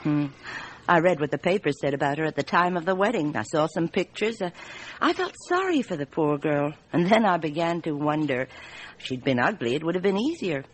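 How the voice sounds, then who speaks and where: Radio drama: candid plump bar hostess, thirties, French, very animated delivery, wryly amused, slightly noisy ambience. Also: female voice, low-pitched, brisk. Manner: chatty, hesitant, with little amused chuckles.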